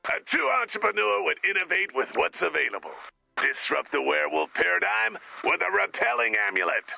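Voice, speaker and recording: A man voicing a ruthless, pompous corporate werewolf in a video game, gravelly and slick, with a radio effect. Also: sing-song, moderate pace, sharp tone, no echo, heavily acted. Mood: slick, scheming. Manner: pompous, slick business pitch. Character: charismatic, ruthless corporate werewolf executive, pompous and jargon-spouting. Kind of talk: video game character voice acting.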